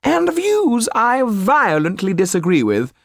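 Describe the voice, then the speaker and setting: A man's voice: high-pitched, huge pitch swings, takes it slowly, gravelly, dry recording, studio quality, voice pushed up, heavily acted. A man voicing a haughty, indignant aristocratic lady from Paris in an audiobook story. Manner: imperious, emphatic.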